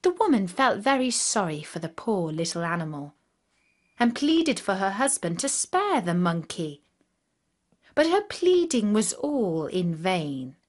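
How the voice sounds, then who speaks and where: A woman narrating a scripted fairy tale for children in an expressive, deliberate storytelling manner. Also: medium pitch.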